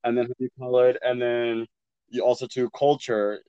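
A man's voice: mid-range voice, level tone, moderate pace, mellow, clean tone, dry recording, lo-fi sound. A young man talking reflectively in casual conversation, searching for words with many "like" fillers. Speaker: podcast co-host.

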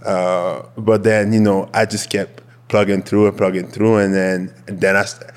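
A young man from Quebec whose first language is French, speaking English with a Quebec French accent as an interview guest, relaxed and candid with many fillers. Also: on the low side, a little slow, clear, resonant tone, in a large hall. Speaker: guest, football player. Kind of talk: casual interview.

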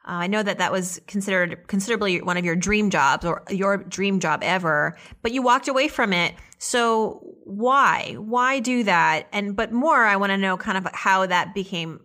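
A woman's voice: medium pitch, normal speed, hardly any pauses, pushed and bright. A woman hosting a podcast, talking with her guest in a conversational interview style.

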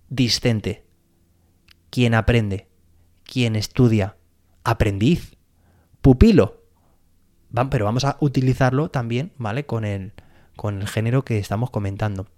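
A man's voice: mid-range voice, darkish tone. A male teacher with a Spain Spanish accent hosts his own educational podcast. He explains at an easy, conversational pace and keeps checking in with the listener. His voice sits in a middle register. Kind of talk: solo educational podcast monologue.

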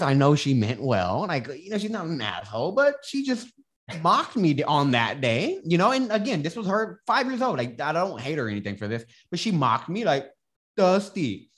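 Man, slight lisp, casual podcast guest, lively swings between loud and quiet. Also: fairly high pitch. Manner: animated, swearing, swings from loud to hushed. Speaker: podcast guest, transformation coach.

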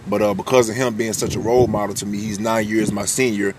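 Candid deep-voiced young man. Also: level tone, quick pace, a little mellow, a little hiss or hum. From United States. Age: 20s. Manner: candid, loose, swears freely, restarts often. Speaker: podcast host. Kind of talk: casual personal monologue.

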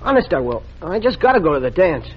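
An actor playing an excitable teenage boy who pleads and whines, in 1940s radio acting. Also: a little high, quick pace, breathy edge. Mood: pleading. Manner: pleading, youthful. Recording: muffled old radio broadcast.

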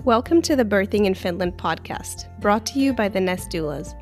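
A woman hosting a birth story podcast as a doula, friendly and quick to laugh, with many fillers. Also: medium pitch, expressive, over music. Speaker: podcast host and postpartum doula. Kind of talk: podcast interview with scripted intro.

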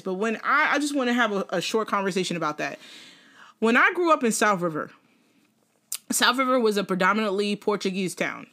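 A young woman co-hosting a podcast in casual chat, animated, laughing often and swearing freely. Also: a little high. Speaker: podcast co-host.

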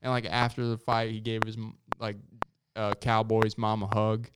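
A man's voice: lowish voice, little pitch movement, normal speed. A young man chatting casually in a slangy, joking way. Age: young adult. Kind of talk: casual chat. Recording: very noisy recording with clicks.

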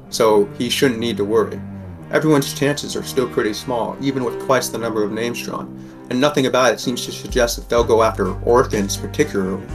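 A low-pitched man acting as a teenage boy tells his own story in an audio drama. He is dry and wry, with a protective streak. Faint guitar music plays behind him. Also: natural voice. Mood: uneasy. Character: sixteen-year-old boy from a poor district, wry, protective narrator. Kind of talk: first-person scripted narration of a fan audio drama.

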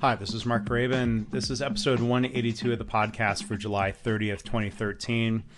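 Male podcast host, mid-range voice, casual and unhurried, lots of fillers. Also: mid-range voice, over music. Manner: relaxed, with frequent ums and uhs. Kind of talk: podcast interview and promo reads.

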